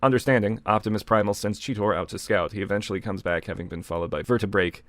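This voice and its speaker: A man's voice: fairly low pitch, quick pace, a bit bright, dry recording. A man co-hosting, reading fan fiction aloud and breaking off to comment casually.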